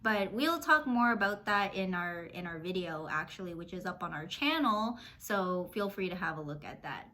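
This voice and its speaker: A woman's voice: on the low side, lively intonation, moderate pace, hardly any pauses, pushed and bright. A young woman in her twenties, from Canada, chatting casually as an interview guest. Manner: chatty, many fillers.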